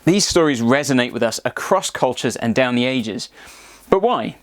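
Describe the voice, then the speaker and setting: A man's voice: mid-range voice, expressive, normal speed, a little hiss or hum. A man presenting a scripted explanatory narration.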